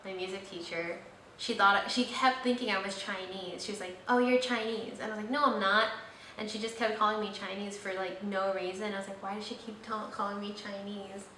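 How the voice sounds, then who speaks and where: A woman's voice: medium pitch, steady tone, a little fast, pushed and bright. A young woman hosting her vlog, chatting casually and rambling with lots of fillers. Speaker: vlogger host. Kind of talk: casual chat. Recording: echoey room, slightly distant mic, faint noise.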